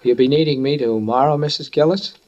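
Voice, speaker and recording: An American man acting a menacing, unhinged young handyman in a 1940s radio drama, taunting with deliberate, childlike questions. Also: medium pitch, a little mellow, natural voice. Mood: eerily childlike. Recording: old radio broadcast, slightly muffled voice.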